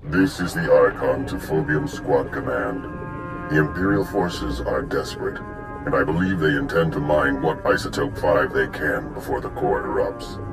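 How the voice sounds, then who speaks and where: Casting: authoritative game villain, extremely low voice, formal delivery, score playing behind. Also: male voice, steady tone, moderate pace. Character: commanding male villain, a mercenary overlord. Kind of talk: video game villain transmission. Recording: loud game music behind voice.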